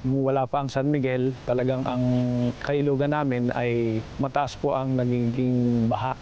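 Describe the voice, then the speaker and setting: A man's voice: mid-range voice, little pitch movement, moderate pace, dark tone, highs cut off, a little hiss or hum. A Filipino man who works on the river, speaking earnestly in a testimonial. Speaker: river community worker giving a testimonial. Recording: music under the voice. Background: background music.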